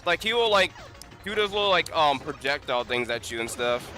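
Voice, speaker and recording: Male gaming streamer, bright, slightly high voice, rambling casual commentary, game music behind. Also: expressive, fairly quick. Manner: rambling, many fillers and restarts.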